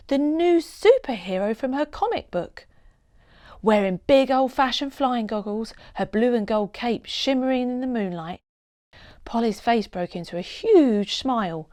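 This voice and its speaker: A woman narrating a children's storybook in an expressive, dramatic read-aloud style with deliberate phrasing. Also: a little high, no room sound, studio quality.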